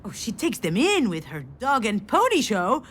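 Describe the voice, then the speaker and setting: An actress playing an overwhelmed, sardonic adult daughter in a video game, speaking in a halting, expressive way. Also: female voice, fairly high pitch, slight background noise. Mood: bitter, sardonic. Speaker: game character, artist's assistant. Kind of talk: naturalistic video game acting.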